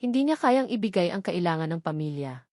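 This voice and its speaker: A woman's voice: lowish voice, big pitch swings, brisk, darkish tone, no room sound, studio quality. A woman with a Filipino accent reading a scripted love drama as a storyteller. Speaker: narrator.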